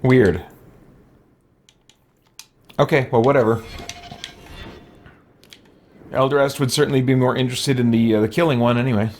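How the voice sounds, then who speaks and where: A man's voice: medium pitch, steady tone, normal speed, pauses often, a little mellow, slight background noise. A man giving casual let's play commentary, thinking aloud and musing to himself as he goes. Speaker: gaming video host.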